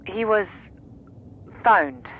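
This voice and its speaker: Female journalist: radio drama, calm factual delivery through a narrow telephone filter. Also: mid-range voice, extremely slow. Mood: matter-of-fact. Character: matter-of-fact female journalist on the phone. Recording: thin, tinny phone line.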